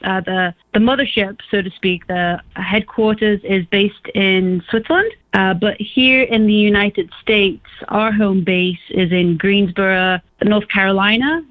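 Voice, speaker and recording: A middle-aged woman from Liverpool with a faint Liverpool accent, a guest scientist on a radio show who talks haltingly with many fillers and restarts. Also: mid-range voice, fairly even intonation. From England, Liverpool. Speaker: guest, pest management scientist. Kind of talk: radio interview. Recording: tinny phone line.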